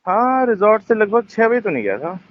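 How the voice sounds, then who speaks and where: A man with a high voice talks on a phone call, rambling defensively and circling back with fillers and restarts. The recording is dull and muffled, as captured over a phone line. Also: big pitch swings, brisk. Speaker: phone caller. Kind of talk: tense phone conversation.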